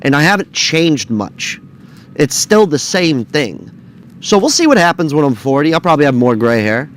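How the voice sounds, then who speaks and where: A man's voice: on the high side, animated, normal speed, a little hiss or hum. A man in his thirties talking in a casual vlog, chatty, blunt and joking. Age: 30s. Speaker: video creator.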